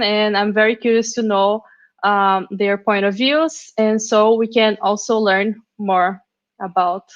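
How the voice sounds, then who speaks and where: A woman's voice: medium pitch, dull audio. A woman moderating an online panel over headphones, introducing guests carefully, with small laughs. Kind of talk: hosting a video panel.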